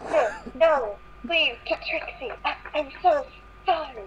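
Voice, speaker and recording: A woman's voice: high voice, takes it slowly, highs cut off, a crowd in the background, pitched above the performer's own voice. A woman voicing a helpless, pleading female pony in an amateur story reading. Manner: pleading, protesting.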